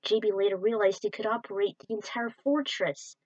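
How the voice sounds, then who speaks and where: A woman's voice: mid-range voice, brisk, no echo. A woman reading an adventure story aloud as an amateur narrator, on a lo-fi home recording. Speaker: podfic narrator. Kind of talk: amateur storytelling read from a script.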